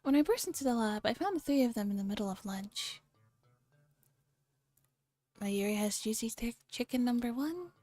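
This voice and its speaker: Female streamer, soft and mellow, reads a visual novel ASMR-style over faint music. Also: a little high, animated, normal speed, long pauses. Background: background music.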